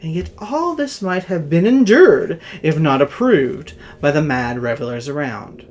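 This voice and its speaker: A man narrating Gothic horror in a theatrical, dramatic way, with measured phrases that swell and drop in volume. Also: fairly high pitch, expressive. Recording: music under the voice.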